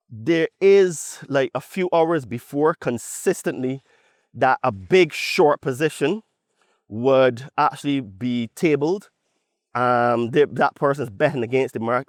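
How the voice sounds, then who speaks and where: A man's voice: fairly high pitch, no room sound. Bajan man, dry close podcast sound. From Barbados. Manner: conversational, emphatic, punctuates points with "right?". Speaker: podcast host. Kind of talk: opinionated podcast monologue.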